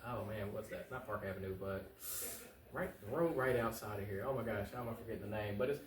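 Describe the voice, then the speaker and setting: An American man speaks very quickly in an earnest, informal interview style, often repeating phrases to drive points home. His voice is mid-pitched and comes with a roomy echo, as if some way from the microphone. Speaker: political candidate, talk show guest. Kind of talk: conversational interview answers. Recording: roomy echo, distant mic, faint noise.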